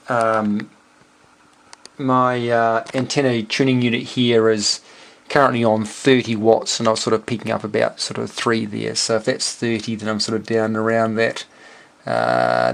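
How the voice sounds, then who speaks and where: A man with a New Zealand accent talking casually and unscripted in a halting, rambling way, as a hobbyist thinking aloud. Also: medium pitch, creaky. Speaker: amateur radio operator and homebrew electronics hobbyist. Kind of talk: casual unscripted technical walkthrough.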